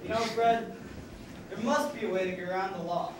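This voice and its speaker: A man's voice: high-pitched, fairly even intonation, brisk, very noisy, close to an everyday voice. An eager, loyal camp counselor, played by a man in an amateur stage play, pleading earnestly with his friend. He is heard from far across the stage. The hall echoes heavily around him. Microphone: far room mic.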